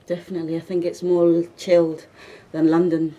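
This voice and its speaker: A woman's voice: lowish voice, monotone, normal speed, mellow, poor audio. A woman hosting a casual radio interview, affirming her guest with frequent murmurs of agreement. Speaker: radio host. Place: radio studio.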